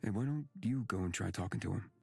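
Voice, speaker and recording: Deep, gravelly, blunt travelling companion. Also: male voice, quick pace, darkish tone, faint music behind, full character voice. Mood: concerned. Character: grumbling, blunt but caring male travelling companion. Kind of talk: visual novel game voice acting.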